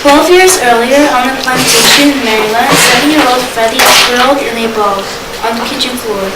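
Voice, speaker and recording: A woman's voice: high-pitched, brisk. A female student narrator reading scripted story narration aloud. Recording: very noisy echoey room, distant mic.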